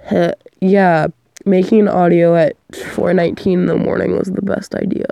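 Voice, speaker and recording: A young woman audio performer chats in a loose, rambling way, drifting off her train of thought. She is sick, and her voice is mellow with a gravelly fry. The sound is close and completely dry. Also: on the low side, level tone, moderate pace, dry recording. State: sick, coughing. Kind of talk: casual rambling chat.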